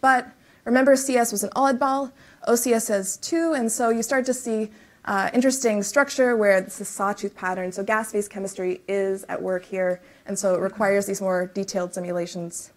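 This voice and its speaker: Woman, science talk, lectern mic, measured explaining, middle pitch. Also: steady tone. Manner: explains in measured technical steps with fillers. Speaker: research speaker. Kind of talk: conference talk and Q&A. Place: lecture room.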